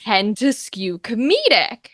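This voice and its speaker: A woman with a fairly high voice chats as a guest in a relaxed interview. Her phrasing is informal and emphatic, and the sound is close and dry. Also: slow pace, no echo. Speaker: interview guest, voice actor and game master. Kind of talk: casual conversational interview.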